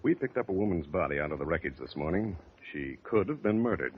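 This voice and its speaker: An actor playing a tough but patient homicide lieutenant, measured and insistent, in a 1940s radio drama. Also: male voice, sits low, sing-song, a little hiss or hum. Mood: pressing. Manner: measured, insistent, plain-spoken. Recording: tinny, narrow old radio recording.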